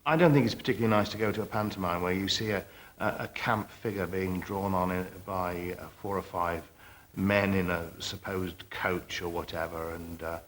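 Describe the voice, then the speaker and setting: A man with a very low voice gives his opinion in an interview. He sounds disapproving and picks his words haltingly, with faint noise behind. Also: steady tone, a bit bright. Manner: disapproving, hesitant delivery. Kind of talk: opinionated talking-head interview. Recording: faint background noise, VHS tape.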